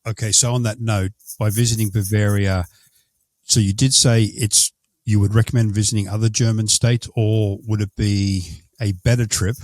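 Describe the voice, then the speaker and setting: Low-voiced man hosting a podcast. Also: fairly even intonation, normal speed, a little mellow, no echo. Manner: chatty, self-correcting questions. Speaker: podcast host. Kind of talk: casual interview.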